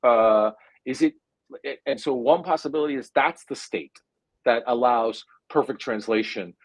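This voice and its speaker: A man's voice: medium pitch, dry recording. A man in his forties with a New Jersey accent, thinking aloud as a guest scientist in an interview, with lots of "uh" fillers. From India. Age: 40s. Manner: thoughtful, hesitant, full of drawn-out "uh" fillers. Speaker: guest neuroscientist. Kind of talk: conversational interview answer.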